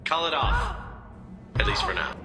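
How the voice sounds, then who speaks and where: A gruff game prison guard, lively pitch swings, loud music under it. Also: male voice, unhurried, full character voice. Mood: defensive, weary. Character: gruff prison guard, defensive then weary. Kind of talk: video game voice acting. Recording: echoey hall, loud music.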